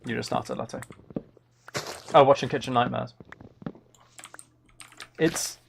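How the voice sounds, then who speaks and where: A man's voice: medium pitch, takes it slowly. A man chatting casually on a gaming livestream, rambling and often questioning himself as he goes. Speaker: livestream host. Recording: clear background noise, keyboard clicks.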